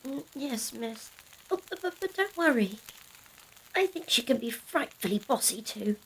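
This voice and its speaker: A woman's voice: fairly high pitch, expressive, moderate pace, a little hiss or hum, poor audio. A woman playing a timid, apologetic, well-bred village girl in a period audio drama, hesitant and stammering.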